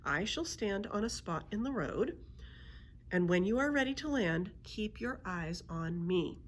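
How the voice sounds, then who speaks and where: A woman voicing a brisk, firm, practical old grandmother who gives decisive instructions in a children's storybook. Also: mid-range voice, lively intonation, slight background noise, lightly acted. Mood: instructing.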